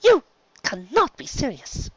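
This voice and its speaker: A woman's voice: high voice, wildly swooping pitch, measured pace, noisy background, voice pushed up, full character voice. A woman voicing a refined young mare, courteous and dramatic, with genteel, formal phrasing in a fan story reading. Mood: aghast. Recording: slightly dull, lo-fi recording.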